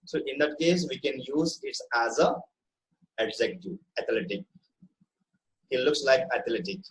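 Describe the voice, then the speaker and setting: A man's voice: mid-range voice, expressive, unhurried, poor audio. A man with an Indian accent teaching an online English vocabulary lesson, instructive and often checking that learners follow.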